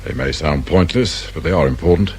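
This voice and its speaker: An actor with a British accent playing a shrewd, dry, irritable detective inspector in a radio crime drama. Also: male voice, rumbling low, brisk, a little mellow, breathy edge, exaggerated voice. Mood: probing, measured. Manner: probing, brusque, sardonic.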